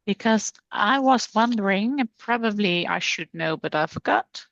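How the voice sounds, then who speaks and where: A woman's voice: medium pitch, animated, moderate pace, gravelly, a bit bright, no echo, dull audio. A woman student asking a teacher a question, hesitant and searching for words.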